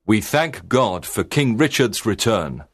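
A hearty, welcoming young outlaw leader, played by a male narrator. His voice leaps through a very wide range of pitch at an unhurried pace, warm in manner and courteous to a guest. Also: very animated, slightly rough, dry recording, exaggerated voice.

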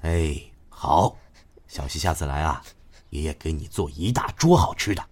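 A man acts out a middle-aged dad in a modern audio drama, with a low-pitched voice. He is blunt and gruff, scolding in jest and teasing, yet fond underneath. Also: lively intonation, moderate pace, a little mellow.

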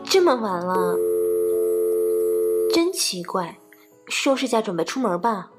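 A woman's voice: mid-range voice, big pitch swings, slow pace, over music. A young woman playing an obliging, considerate close friend in an audio drama. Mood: puzzled. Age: young adult. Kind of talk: Chinese audio drama acting.

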